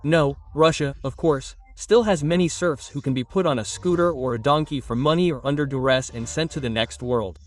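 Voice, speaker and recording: A man's voice: a little high, normal speed, hardly any pauses, recorded from a distance, soft background music. A man reading scripted political commentary in a sardonic, mocking manner. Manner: sarcastic, mocking. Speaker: narrator of a satirical war commentary video.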